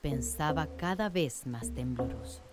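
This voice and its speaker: A woman's voice: rumbling low, expressive, a little slow. A woman narrating a scripted radio drama in a literary storytelling manner. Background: music.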